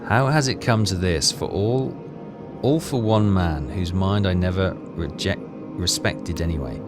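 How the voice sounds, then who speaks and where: A man with a low voice narrates his way through a game in a casual, halting way. He mutters his thoughts and reads passages aloud. Loud music plays behind him. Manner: muttering, thinking aloud, halting while reading. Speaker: video game walkthrough player. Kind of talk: casual game commentary mixed with reading in-game text aloud.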